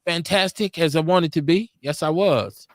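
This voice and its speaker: A man in his forties, hosting a casual podcast chat in an animated, emphatic way, often restarting his sentences. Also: fairly high pitch, moderate pace, no echo, studio quality. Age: 40s. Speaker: podcast host.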